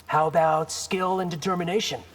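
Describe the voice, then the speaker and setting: A man's voice: a little high, steady tone, brisk, a bit bright, slight background noise, natural voice. An actor playing a cocky, determined young male warrior in a video game, with a terse, wry and defiant manner. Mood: firm, defiant. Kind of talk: video game hero acting.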